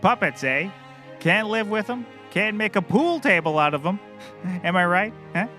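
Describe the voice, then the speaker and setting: Guy doing a goofy clueless comedy private eye, chirpy high voice, really bright, rambles on. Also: lively intonation, normal speed, faint music behind. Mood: chipper, jokey. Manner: rambling, cheerfully clueless, cracks corny jokes. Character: bumbling, chirpy, oblivious male puppet-world private eye. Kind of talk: scripted comedy acting.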